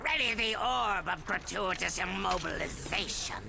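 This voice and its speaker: A man's voice: high voice, expressive, measured pace, vocal fry, very noisy, full character voice. A man voicing an egomaniacal robot mad scientist in a video game, with theatrical, ranting delivery and sudden shrieks. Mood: scheming. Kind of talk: animated video game villain acting. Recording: loud music under the voice.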